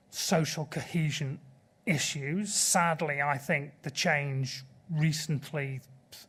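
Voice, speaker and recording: A middle-aged man speaks at a panel table in a large auditorium. His voice sits a little high, and he is earnest and measured. The desk microphone keeps him close and clear. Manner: earnest and measured, pressing a point. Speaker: panelist from a sea rescue group. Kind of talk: panel debate answer.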